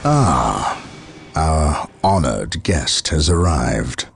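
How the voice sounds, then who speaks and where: A stern space marine captain with a very low, gravelly voice. He is formal and commanding, with dramatic swings of emphasis, as in a video game cutscene. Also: animated, drawn-out words, full character voice. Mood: measured, watchful. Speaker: military captain.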